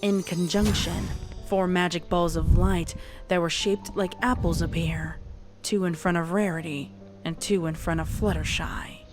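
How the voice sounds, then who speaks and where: A woman's voice: on the low side, fairly even intonation, unhurried, music in the background. A woman narrating a scripted story as the storyteller.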